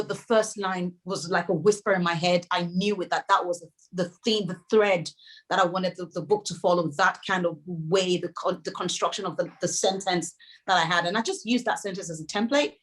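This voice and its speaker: A woman's voice: mid-range voice, poor audio. A woman novelist from Nigeria whose first language is Yoruba, giving thoughtful interview answers with frequent restarts over a video call.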